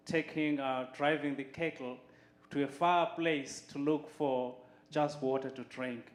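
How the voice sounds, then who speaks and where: A man from Zimbabwe giving a short, earnest welcome to a church audience, speaking slowly with frequent pauses. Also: medium pitch, roomy sound. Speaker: board member of a water charity. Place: church hall.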